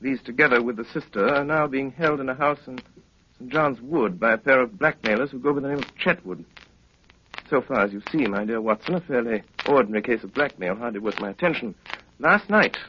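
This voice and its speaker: An actor playing a brilliant, self-assured English detective in an urbane, deliberate, theatrical 1930s radio style. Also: male voice, medium pitch, lightly acted. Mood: measured, intent. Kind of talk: 1930s radio drama acting. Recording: dull, noisy 1930s radio recording.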